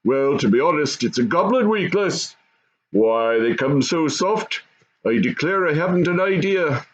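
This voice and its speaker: A man voicing a gruff, practical goblin father, proud of his kind, with a rough edge. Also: a little high, expressive, unhurried, lo-fi sound, voice pushed up. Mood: confiding, proud.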